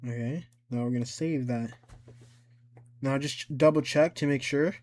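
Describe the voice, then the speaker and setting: A man giving a casual, informal software tutorial, talking himself through each step as he goes. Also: medium pitch, normal speed, slight background noise. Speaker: tutorial host.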